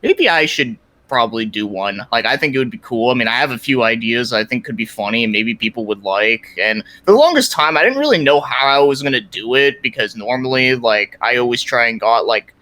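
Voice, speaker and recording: A man's voice: medium pitch, expressive, a little fast, few pauses, bright, forceful tone, poor audio. A man chatting casually as a podcast guest, rambling with lots of "like" and some swearing. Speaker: guest, creator of a fan parody series.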